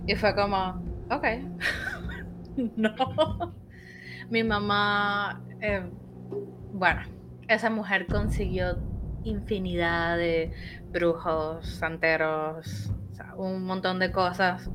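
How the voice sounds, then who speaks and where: A woman from Venezuela with a Venezuelan accent, a guest telling a paranormal story in a casual, lively way on a live stream. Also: mid-range voice, very slow, long pauses, noisy background. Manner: lively, laughing, animated retelling. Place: home, lives in Buenos Aires.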